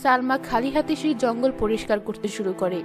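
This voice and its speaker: A woman's voice: on the high side, brisk, over music. A woman giving scripted narration of a fairy tale for a cartoon.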